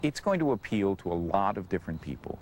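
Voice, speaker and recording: A man's voice: deep voice, big pitch swings, fast, a little mellow, a little hiss or hum. A man talking casually as an interviewed cast member.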